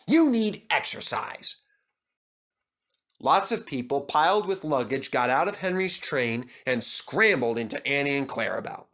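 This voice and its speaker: A man's voice: mid-range voice, animated, moderate pace, hardly any pauses, sharp tone. A man reading a scripted children's story as its storyteller.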